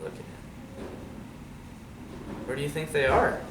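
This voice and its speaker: A man reading a storybook aloud to young listeners in an expressive, dramatic way. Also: fairly high pitch, measured pace. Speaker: storybook reader. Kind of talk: animated read-aloud story with asides to children. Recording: roomy, distant mic, noisy background.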